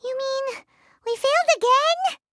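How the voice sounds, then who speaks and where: A woman voicing a tiny, cheeky, excitable childlike fairy companion in a video game, with a squeaky voice. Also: measured pace, no room sound, clean studio sound, heavily acted. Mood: disappointed. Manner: exclamatory, refers to herself in third person. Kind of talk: video game character acting.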